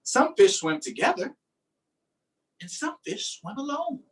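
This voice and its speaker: Expressive man hosting children's storytime, distant mic. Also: extremely high pitch, a little slow. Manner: expressive, simple, encouraging instructions to children. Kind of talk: read-aloud and casual chat to young children.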